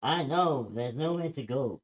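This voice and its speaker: A woman's voice: a bass voice, expressive, measured pace, slightly rough, heavily acted, rough recording. A woman playing a stressed, terse husband driving through danger, at a screenplay table read. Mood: tense.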